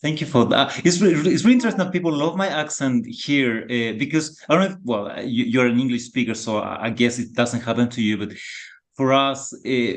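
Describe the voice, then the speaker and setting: Mid-pitched Chilean man in his thirties, Spanish accent, soft and halting guest. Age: 30s. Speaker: podcast guest. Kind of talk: casual reflective conversation.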